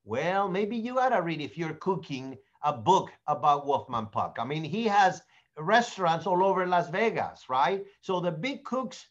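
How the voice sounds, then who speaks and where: A man with a Spanish accent, a native Spanish speaker, coaches real estate agents in a blunt, animated way and acts out sample sales dialogues. His voice sits high for a man. The recording sounds muffled and lo-fi. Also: a little slow. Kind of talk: sales training talk.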